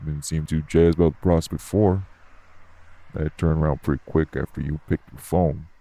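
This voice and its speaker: Man acting a low, gravelly, blunt tough guy. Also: fairly even intonation, normal speed, warm tone, heavily acted. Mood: casual, teasing. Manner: blunt, laid-back, streetwise slangy talk. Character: gruff, blunt, unbothered tough guy working at a nightclub. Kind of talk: audio roleplay acting addressed to the listener.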